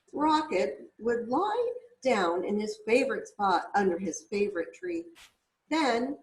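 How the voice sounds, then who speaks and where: A woman reading a picture book aloud to young children, expressively, with casual asides to her listeners. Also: on the high side. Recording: roomy, echoing indoor sound.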